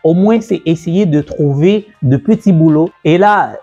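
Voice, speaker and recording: A man telling the story of his own business journey in a casual way, repeating himself and pausing with fillers. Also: a little high, mellow, slightly rough. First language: French. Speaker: entrepreneur and business coach. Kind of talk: personal story told to camera. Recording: dull, lo-fi sound with faint music.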